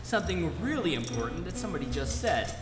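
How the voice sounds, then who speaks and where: A woman playing a brash, excitable, slangy male space traveller in a live radio-comedy play. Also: sits low, fast. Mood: blustering. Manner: blustering, slangy. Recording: echoey live hall, music behind.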